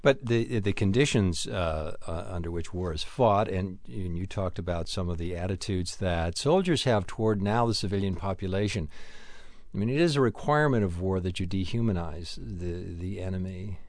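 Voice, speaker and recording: A man with a low voice hosts an interview. He builds his questions in halting, measured phrases, recorded close and dry. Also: darkish tone, no room sound. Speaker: interview host.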